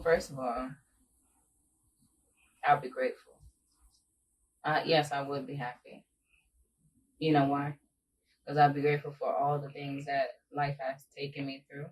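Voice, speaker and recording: Young woman lecturing, heard from a distance. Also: a bass voice. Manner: emphatic, lecturing, motivational. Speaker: daughter. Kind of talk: casual family chat. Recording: distant mic with faint noise.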